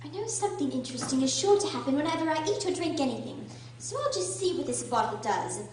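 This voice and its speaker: A woman playing a curious, polite young girl in a radio drama, earnest and expressive. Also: high-pitched, fast, slight background noise. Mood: curious, fretful. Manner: earnest, expressive, talking to herself. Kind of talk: theatrical radio drama acting. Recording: echoey room from far off.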